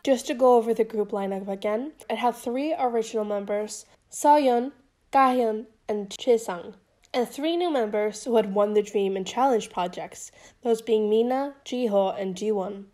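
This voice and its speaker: A woman's voice: a little high, moderate pace, warm tone. A young woman YouTuber narrating a scripted commentary in a casual, chatty way, her voice still a bit off from being sick.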